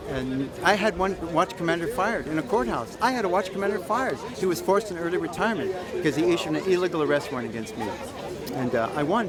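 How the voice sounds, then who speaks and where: Emphatic American man, higher-pitched voice, crowd around. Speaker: activist. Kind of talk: spontaneous interview outdoors. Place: outdoors at a public rally. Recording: outdoor crowd noise behind voice. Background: crowd chatter.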